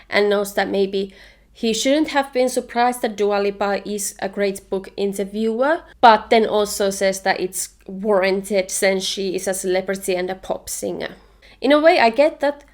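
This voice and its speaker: Finnish woman chatting about books. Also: mid-range voice. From Finland. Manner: enthusiastic, opinionated, chatty. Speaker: book vlogger. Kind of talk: casual book review chat.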